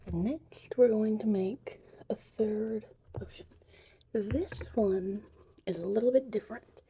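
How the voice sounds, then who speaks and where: A woman whispering softly and breathily in an unhurried, chatty ASMR witch potion tutorial roleplay. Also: mid-range voice, slight background noise. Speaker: ASMR host.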